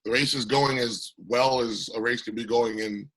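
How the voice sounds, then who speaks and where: Raspy, husky-voiced man, emphatic political candidate, bright forward tone, recovering from illness. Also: mid-range voice, fairly even intonation, normal speed, rough recording. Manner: passionate, emphatic. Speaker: congressional candidate, guest. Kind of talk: remote interview.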